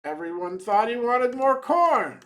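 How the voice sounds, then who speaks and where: A man reads a short tale as a storyteller. His delivery is slow and deliberate, with a measured, dramatic touch. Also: very high-pitched, big pitch swings, fairly bright tone.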